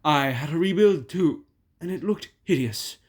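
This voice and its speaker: A man's voice: fairly high pitch, expressive, moderate pace, pitched above the performer's own voice. Man voicing a genial famous express engine. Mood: friendly, proud. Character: Flying Scotsman, famous friendly male express engine.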